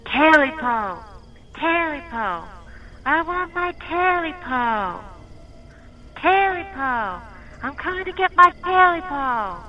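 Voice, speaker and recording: A woman voicing a small vengeful clawed creature with slow, drawn-out hissing wails. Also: high voice, big pitch swings, lo-fi sound, voice pushed up, full character voice. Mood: wailing, demanding.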